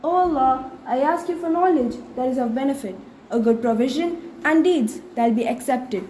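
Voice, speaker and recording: A teenage girl. She recites prayers in a measured, phrase-by-phrase way, like a student at a school assembly. There is faint noise behind her. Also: high-pitched, mellow, rough recording. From India. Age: teenager, ninth grade. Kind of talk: prayer recitation with English translation.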